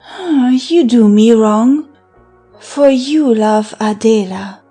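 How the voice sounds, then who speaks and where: A woman's voice: on the high side, slow pace, warm tone, slightly rough, soft background music. A woman playing a teasing, mocking seductress with magical wiles in a theatrical audiobook verse drama. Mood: coy, teasing. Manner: theatrical, taunting, breaking into laughter and sighs.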